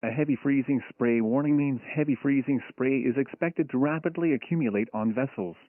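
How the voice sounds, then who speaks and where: A synthetic male voice reading a scripted emergency weather bulletin in a formal, impersonal, measured way. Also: mid-range voice, no echo. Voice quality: synthetic text-to-speech voice. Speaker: automated weather alert announcer. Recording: tinny phone-like weather radio broadcast.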